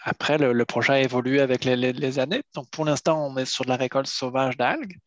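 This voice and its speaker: A man's voice: mid-range voice, fast, fairly bright tone, no echo. A middle-aged man from France answering interview questions, explaining at length and often repeating words as he finds them. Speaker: seaweed harvester and entrepreneur.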